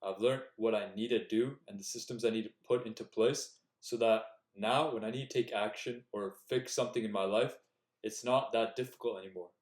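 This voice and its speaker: A man's voice: lowish voice, steady tone, fairly quick. Earnest young man, echoey room. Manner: earnest, direct, coaching tone. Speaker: self-improvement YouTuber. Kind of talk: casual motivational talk to camera.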